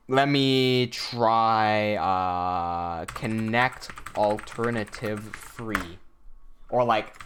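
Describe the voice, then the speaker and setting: A man's voice: fairly low pitch, a bit bright, a little hiss or hum. Young man thinking aloud on a livestream. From Hong Kong. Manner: thinking aloud, reads chat messages, many restarts. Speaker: animator hosting a livestream. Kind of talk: casual livestream chat.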